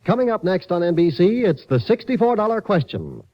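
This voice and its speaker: Casting: lively, high male American announcer for a vintage radio network plug, dull sound. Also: a little fast. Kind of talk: old-time radio promo.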